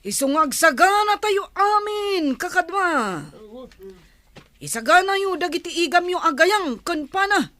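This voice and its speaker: A woman's voice: extremely high pitch, very animated, unhurried, pushed and bright, dry recording, exaggerated voice. A woman playing an eager young village fighter in a radio drama, calling out commands to comrades. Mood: eager, commanding.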